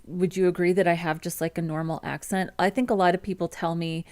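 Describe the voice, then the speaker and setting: Chatty Midwestern American woman, low voice. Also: quick pace. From United States. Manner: relaxed, chatty, many ums and restarts. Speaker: web developer streamer. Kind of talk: casual livestream chat reading comments.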